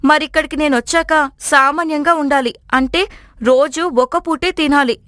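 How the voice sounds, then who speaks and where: Woman as an earnest high-pitched young daughter-in-law. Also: steady tone, normal speed, a bit bright, no room sound, clean studio sound. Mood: earnest, insistent.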